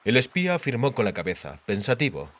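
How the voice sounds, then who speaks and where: Lively male audiobook narrator. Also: mid-range voice, fast, no echo. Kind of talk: dramatised scripted narration.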